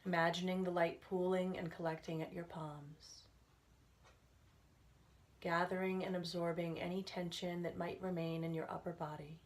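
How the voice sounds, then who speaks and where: A woman leading a guided relaxation as a meditation instructor, speaking gently and soothingly in unhurried phrases with pauses. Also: on the low side.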